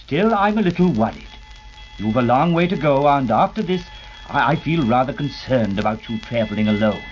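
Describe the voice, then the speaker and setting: A man's voice: mid-range voice, sing-song, moderate pace, faint music behind, close to an everyday voice. A man in a vintage radio play voicing Brother Jim, an earnest, protective older brother who sounds concerned. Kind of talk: 1940s radio acting. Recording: dull old radio recording.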